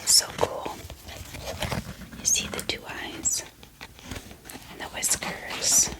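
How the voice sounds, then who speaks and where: A woman hosting an ASMR channel, speaking in a gentle, hushed whisper with pauses. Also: low-quality recording. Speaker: ASMR book channel host. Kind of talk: soft-spoken whispered ASMR.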